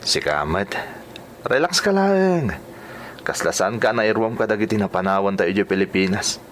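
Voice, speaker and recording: A man playing a calm, concerned, reassuring companion in an Ilocano-accented radio drama. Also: lowish voice, moderate pace, slight background noise. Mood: concerned, soothing.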